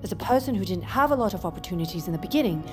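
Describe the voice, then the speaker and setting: An actress playing a calm, open-minded expedition doctor in an audio drama, reasoned and persuasive. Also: female voice, medium pitch, racing, music in the background, natural voice. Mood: measured, persuasive.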